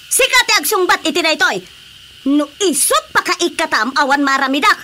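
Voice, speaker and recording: A woman with an Ilocano accent playing an excitable, bossy village captain in a radio comedy drama. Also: high voice, fairly quick, noisy background. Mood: furious scolding. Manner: dramatic, exclaiming, scolding.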